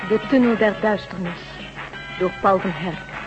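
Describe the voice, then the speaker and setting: A man's voice: high-pitched, a little slow. A man reading a scripted title announcement as an announcer. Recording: dull old radio recording, loud music.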